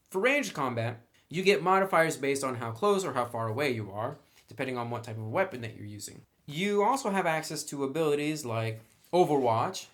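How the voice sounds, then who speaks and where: Man, average pitch, casual review style, explanatory, conversational, direct address. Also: fairly bright tone. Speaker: tabletop game reviewer and presenter.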